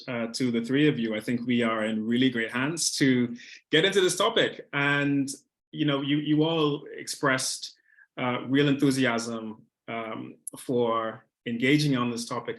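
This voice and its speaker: A man moderating an online panel discussion, speaking in a measured way with frequent hesitations. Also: medium pitch, steady tone, fairly bright tone. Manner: measured, hesitant with many fillers.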